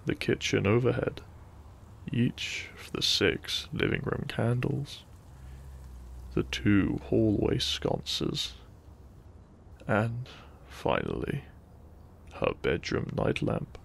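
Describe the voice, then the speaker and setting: Man, low creaky voice, slow gentle bedtime narration, soothing and hushed, soft ambient noise behind. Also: huge pitch swings. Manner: soothing, hushed, lingering pauses between short phrases. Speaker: narrator of sleep-aid audio.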